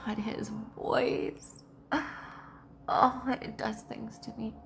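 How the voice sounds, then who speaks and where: A woman's voice: a little high, flat delivery, extremely slow, gravelly. A woman reading game text aloud as a gaming commentator and breaking into casual reactions. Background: loud game music.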